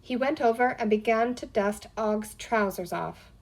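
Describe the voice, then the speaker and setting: A woman reading a children's story aloud as scripted, expressive narration. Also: fairly high pitch, unhurried. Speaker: audiobook reader.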